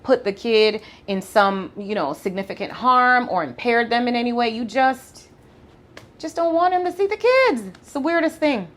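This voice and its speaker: A woman with a Jamaican accent, an attorney explaining law in a casual interview, talking quickly and laughing often. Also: on the high side, expressive, fairly bright tone. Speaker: family law attorney, podcast guest.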